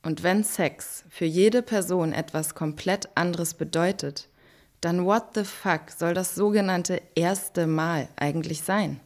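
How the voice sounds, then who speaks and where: A woman's voice: fairly low pitch, measured pace, a little mellow. A woman performing a scripted spoken-word monologue with insistent, list-like repetition. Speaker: performer in an educational audio play.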